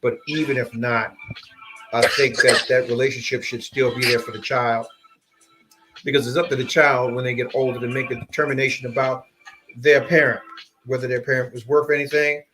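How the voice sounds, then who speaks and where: A man's voice: mid-range voice, level tone, low-quality recording. A man hosting a casual debate, reasoning things through in a conversational way. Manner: conversational, agreeing, drawn-out reasoning.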